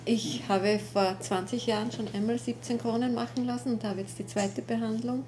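Woman in her fifties, warm mellow voice, soft testimonial, picked up from a distance. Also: a little high, steady tone, moderate pace. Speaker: patient. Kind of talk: interview testimonial. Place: office, indoors.

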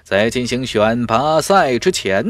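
A man voicing a commanding middle-aged master in a fantasy audiobook, speaking in a solemn, declamatory and deliberate way. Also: mid-range voice, lively intonation, dry recording, lightly acted. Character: commanding middle-aged male cultivation master addressing young warriors. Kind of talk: fantasy audiobook character acting.

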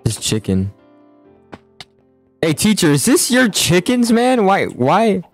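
Animated young man in casual gaming chat. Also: sits high, slow pace, soft background music. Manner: excitable, slangy, exclaiming. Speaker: host and player in a school roleplay.

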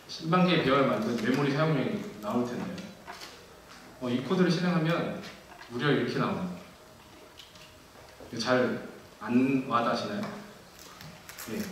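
A man's voice: mid-range voice, far from the mic, slight background noise. Man giving a keynote in an echoey hall. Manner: measured, many fillers and restarts. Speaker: conference keynote speaker. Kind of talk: technical conference talk. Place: large dark auditorium.

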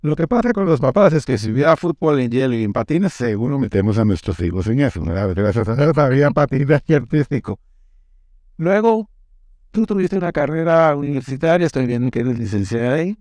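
A man hosting a studio interview in an animated, emphatic way. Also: medium pitch, fairly quick, no echo. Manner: animated, emphatic, lots of gestures. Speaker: host. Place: studio set with classroom backdrop. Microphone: lapel mic.

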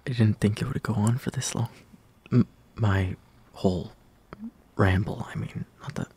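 A young man performing an intimate roleplay monologue as a lovestruck, insecure friend, in a hesitant, breathy half-whisper that trails off. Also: fairly low pitch, fairly even intonation, moderate pace, warm tone. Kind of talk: soft intimate roleplay monologue, partly improvised.

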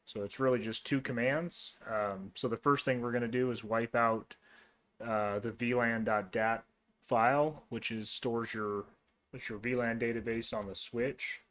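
A man hosting a casual networking tutorial, talking through commands in a relaxed way with frequent ums and restarts while typing. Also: medium pitch, measured pace. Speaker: host of a networking tutorial channel. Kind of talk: casual screen-recorded tech tutorial.

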